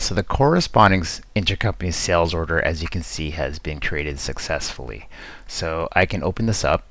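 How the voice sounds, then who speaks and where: A man giving an informal, explanatory screen-share tutorial as a software demo presenter, talking conversationally into a close mic. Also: sits low, no echo. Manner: explanatory, conversational, checks in with "right?".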